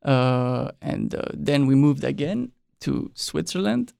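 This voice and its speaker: Young male guest, raised in Mexico, relaxed talker with heavy vocal fry, dry studio sound. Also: mid-range voice, takes it slowly, no echo. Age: young adult. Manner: relaxed, loose with frequent "like" and quiet trailing ends. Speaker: exchange student guest. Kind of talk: casual interview chat. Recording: dry close studio mic.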